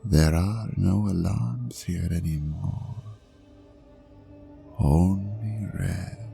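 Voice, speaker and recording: An ancient male serpent god, speaks at an extremely slow, hypnotic pace in a low, gravelly voice. He is possessive and condescending, coaxing and menacing at once, with breathy whispers throughout the audio drama monologue. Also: mellow, faint music behind, heavily acted.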